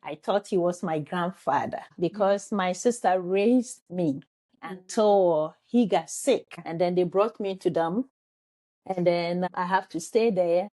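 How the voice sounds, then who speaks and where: A woman with a Ghanaian accent tells her own story as an interview guest. She talks slowly and earnestly, with a warm, mellow tone. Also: lowish voice. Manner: earnest, grateful, slow and halting.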